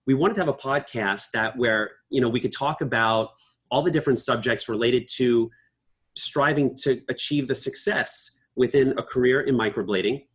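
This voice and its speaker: A man co-hosting a podcast in casual chat, joking and teasing at a slow, relaxed pace. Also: medium pitch, husky. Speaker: podcast co-host.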